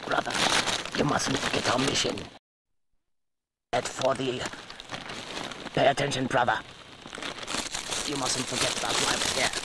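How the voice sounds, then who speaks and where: A man voicing a bossy, scheming terrorist commander in an amateur action-figure cartoon, pompous and deliberate, on a home-made recording. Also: mid-range voice, flat delivery, natural voice. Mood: commanding, scheming. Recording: very noisy home-made cassette dub.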